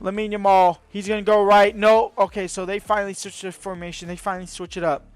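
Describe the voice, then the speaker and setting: A man giving excitable live commentary over his own video game match, his voice going hoarse. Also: high voice, fast talker, no echo, faint music behind. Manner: excitable, bursts into shouts at big moments. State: voice getting hoarse. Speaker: gaming video host.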